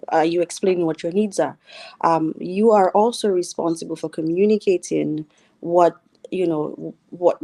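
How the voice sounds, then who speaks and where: A woman's voice: fairly low pitch, a little mellow. A woman with a Dominican accent, a healthcare worker, speaking earnestly as a guest on a radio talk show and checking in with "right?". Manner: earnest, explains with repeated "right?".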